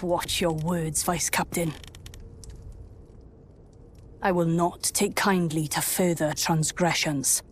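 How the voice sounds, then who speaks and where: A woman's voice: on the low side, fairly even intonation, a little slow, slight background noise, natural voice. A woman playing a stern, haughty royal knight in a video game, cold and imperious in her commands. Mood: cold, threatening. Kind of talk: video game cutscene acting.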